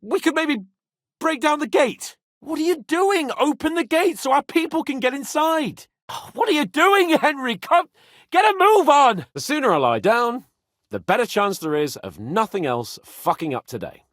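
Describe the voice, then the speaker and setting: A man playing a spoiled, cocky young nobleman in a video game, flippant and theatrical, swinging between whiny and wry, with a husky voice. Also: very high voice, very animated, normal speed, fairly bright tone, no room sound, studio quality, full character voice. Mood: urgent, exasperated. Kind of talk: video game character voice acting.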